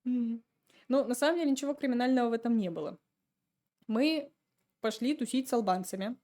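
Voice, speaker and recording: Podcast guest: woman, mellow tone, easygoing chatty storyteller. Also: fairly high pitch, a little fast. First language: Russian. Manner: relaxed, chatty storytelling with laughs. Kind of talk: casual chat.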